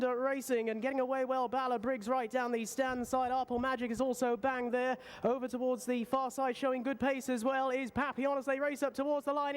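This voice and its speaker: A man giving live race commentary as a racecourse announcer, pausing often between short phrases. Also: extremely high pitch.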